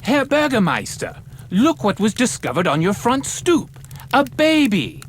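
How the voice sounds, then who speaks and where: An actor playing an obsequious, timid servant to a mayor in an animated game, formally announcing things. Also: male voice, very high-pitched, measured pace, slight background noise, heavily acted. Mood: deferential.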